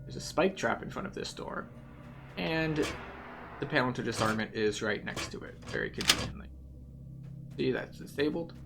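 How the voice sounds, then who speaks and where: A man's voice: mid-range voice, expressive, normal speed, gravelly, long pauses, heavy background noise. A man giving a methodical, explanatory guided commentary through a video game as the host.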